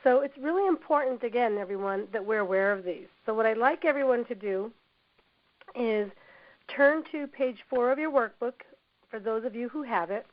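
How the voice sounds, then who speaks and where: A woman with a hoarse voice from a cold, presenting a workplace training webinar in an encouraging manner, often saying "you know". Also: a little high, moderate pace. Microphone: computer mic on a webinar call. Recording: dull lo-fi web audio.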